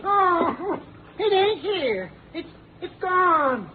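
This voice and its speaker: An actor playing a simple-minded old man, frightened and vengeful, with slow, ungrammatical, childlike speech in a 1950s radio drama. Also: very high-pitched, very animated, over loud music, exaggerated voice. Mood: frightened, upset. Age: older. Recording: muffled, hissy 1950s radio recording.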